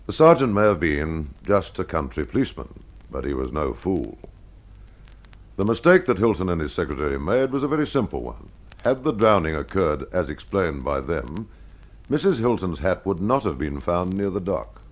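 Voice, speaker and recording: A man hosting and narrating a scripted detective mystery in old-time radio style, telling it to the listener in a measured, dramatic way. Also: deep voice, sing-song. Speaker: host and narrator of a mystery programme.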